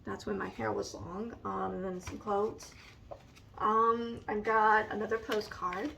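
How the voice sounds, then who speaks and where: A woman with a raspy, allergy-hoarse voice, slowly and hesitantly showing a scrapbook in a casual vlog. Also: mid-range voice, level tone, fairly bright tone, distant mic, slight background noise, low-quality recording. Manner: slow and hesitant, many ums. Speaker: vlogger. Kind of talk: casual show-and-tell vlog.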